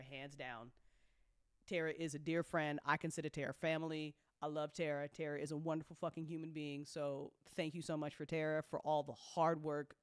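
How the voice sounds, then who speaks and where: A woman's voice: low-pitched, fairly bright tone, no echo. Effusive woman, dry close sound. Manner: effusive, swears freely, repeats phrases for emphasis. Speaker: host, audio drama creator. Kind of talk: casual, chatty recap talk to a community.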